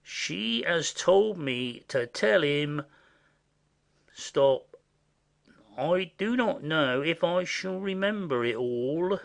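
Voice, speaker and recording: A man playing a simple, indiscreet rustic messenger in a classic stage comedy, chatty and confiding in a secretive, halting way. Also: a little high, lively intonation, vocal fry, heavily acted. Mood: chatty, pleased.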